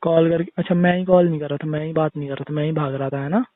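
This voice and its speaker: A man's voice: a little high, level tone, rapid-fire. A young man chatting casually as a boyfriend on a phone call, insistent and full of tag questions. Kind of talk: casual relationship phone chat. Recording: narrow-band tinny phone call.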